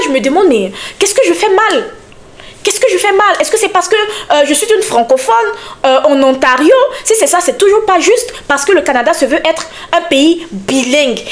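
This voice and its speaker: A young woman talking casually to her listeners on a podcast, animated and emphatic, speaking straight to them. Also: high-pitched. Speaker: podcast host and content creator.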